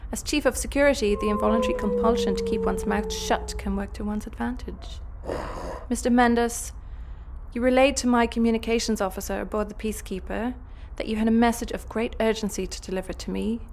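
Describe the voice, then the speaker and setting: Menacing female galactic villain, played by an actress, gravelly fry, measured, icy authority, sci-fi drama. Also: a little high, a little mellow, a little hiss or hum, close to an everyday voice. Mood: icy formality. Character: cold, commanding female villain warlord, calmly menacing. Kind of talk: sci-fi audio drama acting.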